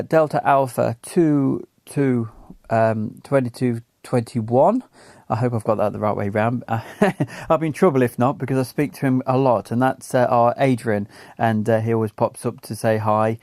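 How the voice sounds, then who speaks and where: A man with an English accent giving a casual, halting rundown as a radio net host, searching for words as he goes. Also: medium pitch, gravelly, darkish tone, dry recording. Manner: halting, searching for words while reading notes.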